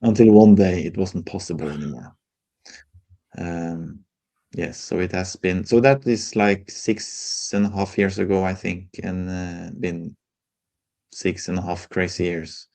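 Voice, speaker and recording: A man's voice: deep voice, steady tone, unhurried, gravelly, dark tone. A middle-aged man with a Norwegian accent, speaking hesitantly and candidly as an interview guest. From Norway. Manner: hesitant, many fillers, candid. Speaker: guest, folk healer. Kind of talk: casual interview conversation.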